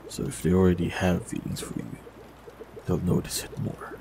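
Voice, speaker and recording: A man voicing a warlock in an audio roleplay, talking calmly and reassuringly to the listener. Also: deep voice, normal speed, gravelly, noisy background. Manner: calm, gentle, reassuring.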